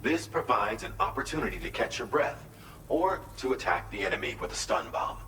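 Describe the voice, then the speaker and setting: A man's voice: fairly low pitch, sing-song, brisk, bright, forceful tone, roomy sound, slight background noise, rough recording. An actor playing a formal, courteous, precise man in a video game cutscene.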